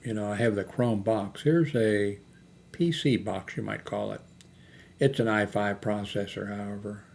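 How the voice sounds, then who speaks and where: An older man hosting a casual unscripted vlog, thinking aloud in a hesitant, halting way as he browses products. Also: on the low side, fairly even intonation, gravelly, a little mellow. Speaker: YouTube host. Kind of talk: rambling, unscripted screen-browsing product chat. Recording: noticeable background noise, homemade recording.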